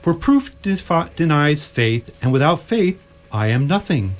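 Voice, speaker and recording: Woman doing a slow, low-pitched solemn God. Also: very animated. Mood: solemn, declarative. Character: grave, self-assured deity, faintly flustered. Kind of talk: amateur radio theatre performance.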